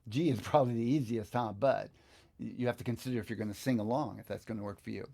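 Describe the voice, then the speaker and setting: A middle-aged or older man giving a casual hands-on software music lesson, thinking aloud in an easygoing, halting way as he works. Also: mid-range voice, vocal fry. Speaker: guitar teacher presenting a software tutorial. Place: small indoor home studio, seated at computer. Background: backing track music.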